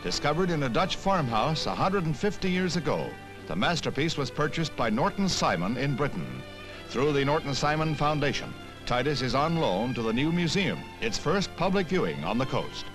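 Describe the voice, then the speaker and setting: A man's voice: on the high side, very animated, normal speed, low-quality recording. An American man reading scripted 1960s newsreel narration in a punchy, wry manner, on an old film soundtrack. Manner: punchy, wry, punning delivery. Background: music.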